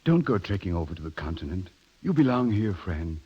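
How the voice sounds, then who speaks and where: An actor playing a suave, condescending English gentleman officer and seducer in a 1930s radio drama, sardonic and smooth-talking. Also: sits low, big pitch swings, brisk, full character voice. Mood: suave, amorous. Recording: dull, muffled 1930s radio recording.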